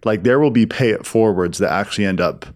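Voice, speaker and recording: A man giving explanatory answers as a business guest in an interview, in a halting way with repeated and restarted phrases. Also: lowish voice, a little mellow. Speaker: interview guest, film studio executive.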